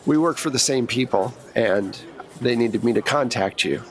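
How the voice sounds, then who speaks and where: A man's voice: medium pitch, moderate pace, lightly acted. Tabletop role: eccentric male fed, chatty, offhand, dryly self-aware, played by a man. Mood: offhand, wry. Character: talkative, laid-back, eccentric male government agent.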